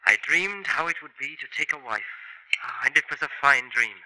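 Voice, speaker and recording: A gentle dreamy young son. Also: male voice, mid-range voice, lively intonation, normal speed, no room sound, poor audio. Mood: earnest. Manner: soft-spoken, earnest. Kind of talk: 1950s radio drama acting. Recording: dry vintage radio broadcast recording.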